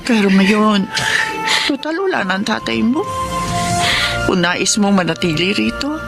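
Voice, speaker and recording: Tender older mom, earnest and forgiving, tv drama, really loud music under her. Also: medium pitch, animated, a little slow, vocal fry. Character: gentle, forgiving widowed elderly mother of a farmer. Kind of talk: Filipino television drama acting.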